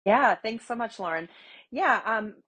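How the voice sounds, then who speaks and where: A woman in her forties from Wisconsin, stuffy from a cold, telling her story in a chatty, animated podcast interview with many fillers. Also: on the high side, measured pace, husky, highs cut off. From Wisconsin, USA. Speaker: guest, agriculture advocate. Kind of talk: conversational interview.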